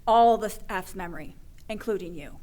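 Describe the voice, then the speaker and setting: A woman's voice: medium pitch, moderate pace, darkish tone, natural voice. A woman playing a cold, commanding scientist in a radio drama, curt, controlled and authoritative. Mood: cold, insistent. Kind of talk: superhero comedy radio drama acting.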